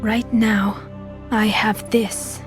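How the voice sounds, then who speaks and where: Young alien crewmate in a game, gentle but determined, wistful, music behind. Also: female voice, mid-range voice, flat delivery, drawn-out words. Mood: wistful, mournful. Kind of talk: video game cutscene dialogue.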